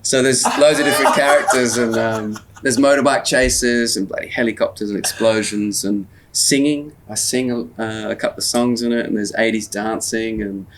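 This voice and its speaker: A man's voice: mid-range voice, steady tone, moderate pace, hardly any pauses, slight background noise. A man, a guest performer chatting casually and swearing freely on a podcast recorded outdoors on a riverboat. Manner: swearing, laid-back, chatty.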